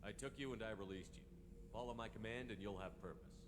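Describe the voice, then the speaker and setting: An actor playing a stern, authoritative starship commander in a video game, speaking directly and forcefully. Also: male voice, lowish voice, quick pace, close to an everyday voice. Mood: firm. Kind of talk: video game character acting. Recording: noisy background under game dialogue.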